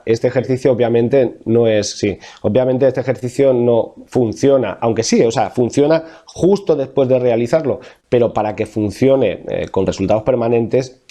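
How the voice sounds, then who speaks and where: A man's voice: mid-range voice, mellow. A man from La Mancha with a Castilian Spanish accent, a vocal coach in his thirties explaining diction exercises in an interview, thoughtful, with many fillers and restarts. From Spain, Castilla-La Mancha.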